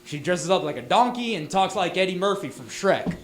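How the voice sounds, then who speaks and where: Texan male comic, high voice, punchy and loud, stumbles over restarts, echoey room. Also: big pitch swings, a little fast, a crowd in the background. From Texas, USA. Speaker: stand-up comic. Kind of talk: stand-up set. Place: small bar venue.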